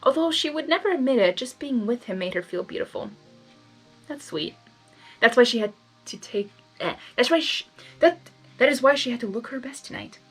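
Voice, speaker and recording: A woman reading fan fiction aloud as host, narrating and breaking into casual, expressive reactions. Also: fairly high pitch, normal speed, husky, faint music behind, poor audio. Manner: expressive, animated asides.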